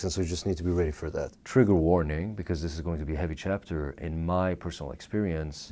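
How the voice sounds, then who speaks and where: Male host from Quebec, French first language, very low mellow voice, earnest measured talk to camera. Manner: earnest and measured, with frequent fillers. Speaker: video essay host. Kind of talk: personal monologue to camera.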